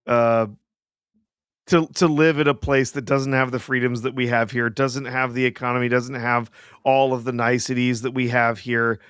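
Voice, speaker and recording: A man's voice: mid-range voice, fairly bright tone, smooth, dry recording, studio quality. A man co-hosting a casual live stream, explaining with lots of "uh" and chuckling as he talks. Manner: chuckling, filler-heavy explaining. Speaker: co-host.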